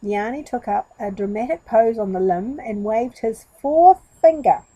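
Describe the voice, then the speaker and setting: A woman storyteller giving an expressive narration of a story for children. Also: a little high, normal speed, dry recording, dull audio.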